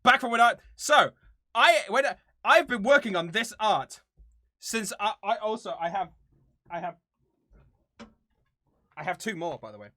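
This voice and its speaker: A man's voice: high-pitched, expressive, a little slow, long pauses, pushed and bright, no room sound, clean studio sound. A man of about thirty chatting casually to viewers as a channel host, in a playful, theatrically mock-serious way. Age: around 30.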